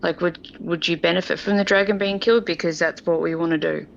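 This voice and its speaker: A woman's voice: on the low side, steady tone, fast talker, gravelly, lo-fi sound, slight background noise. A woman playing a tabletop game, talking casually as she asks and plans.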